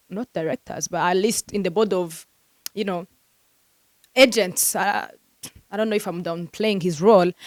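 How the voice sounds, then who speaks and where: A woman's voice: medium pitch, a little mellow, no echo. A woman hosting a football transfer news vlog, talking casually and animatedly to her viewers with lots of fillers, venting like a frustrated fan.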